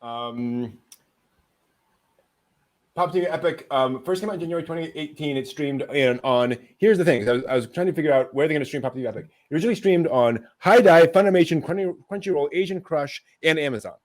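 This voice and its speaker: A man's voice: a little high, brisk, darkish tone. A man hosting a casual news rundown with co-hosts, talking in a hesitant, conversational way. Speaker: host presenting anime news.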